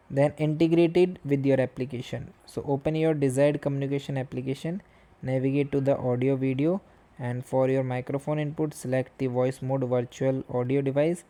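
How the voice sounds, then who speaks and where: A tutorial host with a soft, dark tone at a middling pitch. The narration moves step by step through software instructions. The phrasing is halting and uneven.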